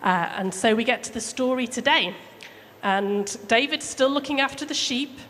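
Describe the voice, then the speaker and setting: A woman with a fairly high voice tells a story to children. She speaks simply and chattily, involving her listeners as she goes. The sound is reverberant, as in a large hall, with faint background noise. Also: normal speed, a bit bright. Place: church hall during a service.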